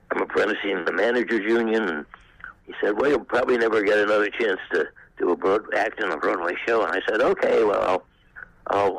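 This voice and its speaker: An elderly man in his eighties casually reminiscing in an interview, in a halting, anecdotal way. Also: lowish voice, creaky, a bit bright, dry recording, low-quality recording. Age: 80s. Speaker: former actor being interviewed.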